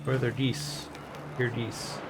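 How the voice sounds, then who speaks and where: A man doing casual livestream game commentary, musing aloud haltingly and breaking into exclamations. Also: medium pitch, flat delivery, very noisy. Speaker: game streamer.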